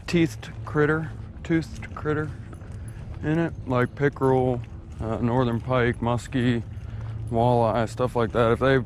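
A laid-back man talks casually while fishing at a lake, his speech unhurried and drawling, sitting at a middle pitch. It sounds like a head-mounted action camera outdoors, with a faint hiss of open air behind him. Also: steady tone, darkish tone. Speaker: fishing vlogger.

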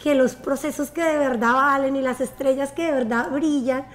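A woman's voice: high voice, moderate pace. A woman in her forties from Colombia, speaking Spanish with a Colombian accent, telling her life story as a guest in a casual interview, talking with her hands. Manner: animated, gestures with her hands. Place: bright living room with a sofa and water view.

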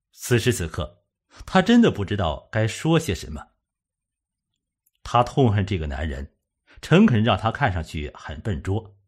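A man's voice: lowish voice, breathy edge. A man narrating a suspense novel from a script, as an audiobook reader.

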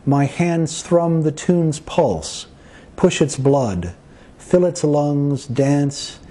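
Slow, husky man reading his poetry. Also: mid-range voice, mellow. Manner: measured, deliberate phrasing with pauses between short phrases. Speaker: poet. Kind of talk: poetry reading of own work.

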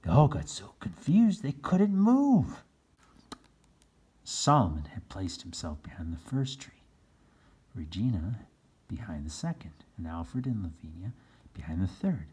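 Husky man reading a storybook animatedly. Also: low voice, very animated, measured pace, long pauses, dark tone. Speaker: storybook reader. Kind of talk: animated children's read-aloud narration.

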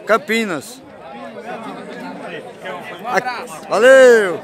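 A man's voice: high voice, expressive, extremely slow. A man with a Northeastern Brazilian accent chatting casually as he films outdoors at a fair. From Brazil, rural Pernambuco. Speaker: vlogger filming at a livestock fair. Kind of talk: casual chat while filming. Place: outdoors at an open cattle fair. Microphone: handheld phone behind the camera. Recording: busy outdoor crowd noise. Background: crowd chatter.

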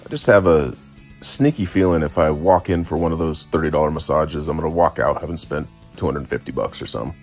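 A man's voice: extremely low pitch, a little fast, soft background music. A man around his forties talking casually and informally as a laid-back travel vlogger. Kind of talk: casual travel vlog narration. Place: outdoors on town streets and beach. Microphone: phone at arm's length.

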